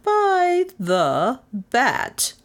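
A woman's voice: a little high, wildly swooping pitch, a little slow, darkish tone, voice pushed up, exaggerated voice. A woman reader voicing a stubborn, proud elderly woman who speaks firmly and insistently. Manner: firm, insistent.

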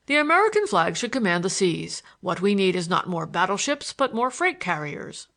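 A woman reading a storybook part as a well-bred gentleman, pompous and oratorical. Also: medium pitch, sing-song, moderate pace, vocal fry. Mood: earnest pontificating.

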